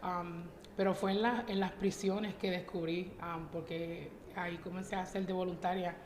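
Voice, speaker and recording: A woman's voice: on the low side, little pitch movement, moderate pace. A woman from the United States, speaking as an interview guest in casual, testimonial conversation. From Connecticut, United States. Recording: echoey live venue, light background noise.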